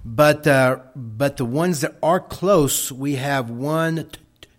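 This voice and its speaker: A man with a Texas drawl talks to a church crowd about his mission work. He speaks very slowly, restarting his sentences often. The tone stays folksy and plain. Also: medium pitch. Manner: slow, folksy, halting with many restarts.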